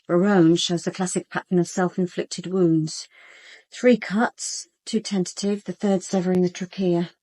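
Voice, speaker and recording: An actress playing a cool, analytical police detective in a radio crime drama, speaking in a clinical, matter-of-fact way. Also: female voice, fairly low pitch, level tone, measured pace, warm tone. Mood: clinical, reasoning.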